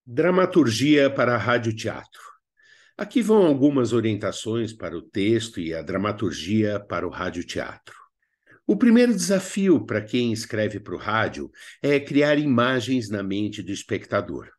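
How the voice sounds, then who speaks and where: A man with a Brazilian accent presenting an instructional lesson in a didactic, expressive way with colloquial asides. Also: lowish voice, normal speed. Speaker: drama teacher presenting a video lesson. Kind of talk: instructional narration with acted examples.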